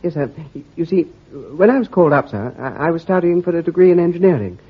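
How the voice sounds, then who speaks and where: A man's voice: fairly high pitch, animated, fast, breathy edge. An actor in his twenties playing a bumbling, nervous young army lieutenant who stammers and says sir constantly. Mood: flustered. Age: 20s. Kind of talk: radio drama acting. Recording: dull lo-fi radio recording.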